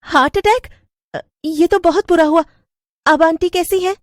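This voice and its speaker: A woman voicing an anxious, lonely young receptionist in an animated story, expressive, questioning and hesitant. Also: very high voice, unhurried, no room sound, studio quality, pitched above the performer's own voice. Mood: shocked, concerned. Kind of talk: dramatic cartoon story voice acting.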